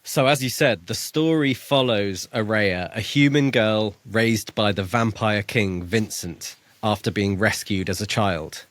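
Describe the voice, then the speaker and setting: A man's voice: fairly low pitch, unhurried, few pauses, a bit bright, no room sound. A man co-hosting a book podcast, talking through a story in a casual way.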